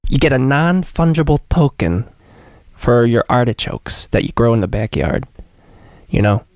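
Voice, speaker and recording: A man's voice: mid-range voice, very animated, moderate pace, a little mellow. A man co-hosting a comedy podcast in casual chat, joking, swearing and riffing into bits. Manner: joking, profane, riffs into bits. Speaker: podcast co-host.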